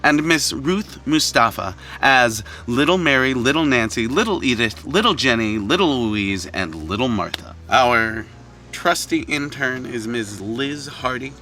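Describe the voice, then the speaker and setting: A man's voice: medium pitch, lively intonation, measured pace, pushed and bright. A man reading scripted credits as director and producer, formal with playful touches. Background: music bed.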